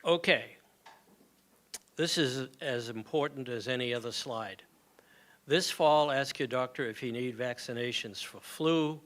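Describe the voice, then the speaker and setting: An older man shares practical health advice with a community gathering in a plain, conversational way. His voice sits at an ordinary middle pitch. He speaks through a microphone in a hall. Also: a little slow, a bit bright. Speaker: community moment presenter. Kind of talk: informal health talk to a gathering. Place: meeting hall with audience.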